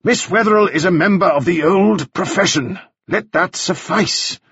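A man's voice: high voice, moderate pace, a bit bright, breathy edge, no echo, lo-fi sound, voice pushed up, full character voice. A man voicing an impatient male judge who snaps short orders from the bench. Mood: stern. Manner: curt, snapping orders.

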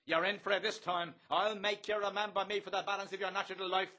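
A man's voice: fairly high pitch, lively intonation, normal speed, pushed and bright, breathy edge, exaggerated voice. A man playing a sadistic, domineering bully of a brothel boss, bombastic and gloating, sliding from wheedling to savage in a theatrical literary drama.

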